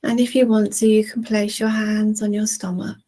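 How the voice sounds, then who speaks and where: A woman leading a guided relaxation in a soothing, gentle manner with long, unhurried pauses. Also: mid-range voice, flat delivery. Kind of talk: guided relaxation, meditation narration.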